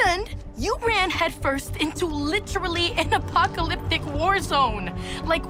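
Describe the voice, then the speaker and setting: A woman playing a sassy, defiant eighteen-year-old daughter in a video game, talking in casual, sarcastic slang. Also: sits high. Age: young adult. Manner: sarcastic, exasperated, teenage slangy delivery.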